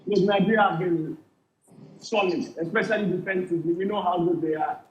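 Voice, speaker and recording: High-voiced pundit on a dull, echoey line. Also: male voice, fairly quick. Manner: fluent, assured, with repeated words. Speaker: football pundit. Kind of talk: remote football analysis.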